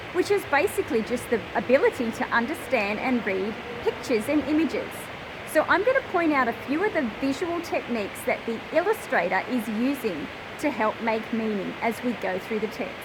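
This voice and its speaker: A woman's voice: high-pitched, lively intonation, moderate pace. A woman in her thirties presenting and explaining to young readers, speaking expressively and directly with emphatic phrasing. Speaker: host of a children's picture book channel. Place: large industrial hall with old machinery. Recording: clear ambient background noise.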